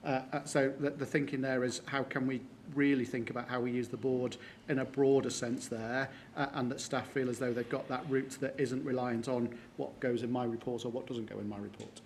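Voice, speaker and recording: A man presenting a report at a formal board meeting, halting and full of filler sounds. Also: medium pitch, level tone, vocal fry, a little hiss or hum. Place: meeting room.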